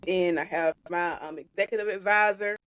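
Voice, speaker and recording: A woman in her thirties hosting a podcast interview, upbeat and animated in a casual, affectionate way. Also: on the low side, moderate pace, no echo. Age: 30s. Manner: upbeat, animated, calls the guest "baby". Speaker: podcast host.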